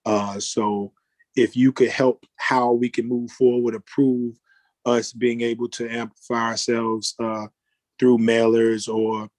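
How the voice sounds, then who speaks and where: A man chairing a formal virtual board meeting in a deliberate, procedural manner over a video call. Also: fairly low pitch, no room sound.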